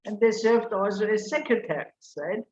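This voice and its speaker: A woman from Austria giving an academic paper presentation over a video call, explaining in a measured way with some fillers. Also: mid-range voice, a little mellow. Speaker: conference speaker. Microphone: computer mic on video call. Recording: roomy lo-fi video call audio with faint noise.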